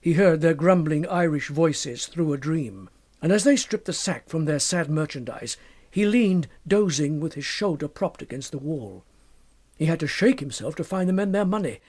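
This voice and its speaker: A man narrating a literary tale as an audiobook, dramatic, measured and formal in his delivery. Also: on the high side, expressive, a little mellow.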